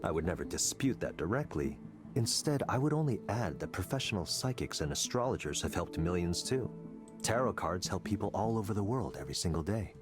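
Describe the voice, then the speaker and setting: A man playing a confident, coldly intellectual tech executive in a visual novel, lecturing in a deliberate, persuasive way. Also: low-pitched, sing-song, over music. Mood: smug, dismissive.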